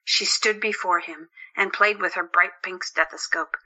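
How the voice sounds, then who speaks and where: A woman's voice: fairly low pitch, steady tone, normal speed, sharp tone, low-quality recording. A woman narrating a scripted story as the narrator of an audio drama.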